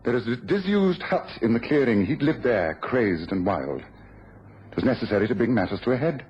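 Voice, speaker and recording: A man playing a commanding, precise gentleman detective in a radio drama. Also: mid-range voice, big pitch swings, normal speed, husky. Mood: composed, explanatory. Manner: authoritative, precise, explanatory. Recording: dull lo-fi radio, faint noise.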